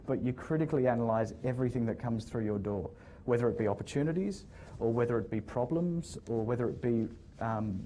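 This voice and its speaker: Man, guest speaker in a lecture room, mellow tone, restarts sentences, light background noise. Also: medium pitch. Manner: hesitant, repeats words, friendly storytelling. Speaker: guest speaker, hotel general manager. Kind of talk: casual talk answering audience questions.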